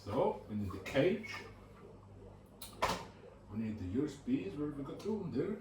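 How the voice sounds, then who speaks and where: A man's voice: a little high, big pitch swings, a little slow. A man vlogging at home, thinking aloud in a bored, muttering way as he builds a computer, picked up by a camera mic. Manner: sounds bored, muttering to himself. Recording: echoey room with faint hiss.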